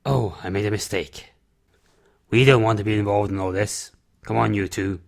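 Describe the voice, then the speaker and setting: A man playing a reserved, gruff older recluse in an audio drama, speaking tersely. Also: lowish voice, fairly even intonation, moderate pace. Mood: grumbling, firm.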